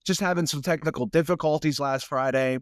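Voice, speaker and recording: A man's voice: fairly high pitch, brisk, dry recording, studio quality. A young man with a stuffy nose hosting a solo sports podcast in a casual, rambling way, often repeating words as he restarts. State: stuffy from sinuses. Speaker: podcast host.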